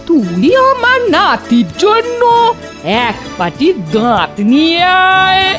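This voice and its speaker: Shrill, bossy old granny. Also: female voice, huge pitch swings, full character voice. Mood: scolding, demanding. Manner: scolding, drawn-out words. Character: bossy, threatening old grandmother fussing over her grandson. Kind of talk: children's cartoon voice acting. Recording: music behind the voice.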